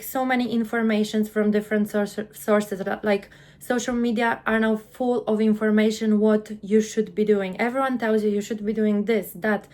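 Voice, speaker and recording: A woman in her thirties with a Polish accent, telling her story as a guest in an interview, earnest and quick, often repeating the first word of a sentence. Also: mid-range voice. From Poland. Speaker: guest, content creator.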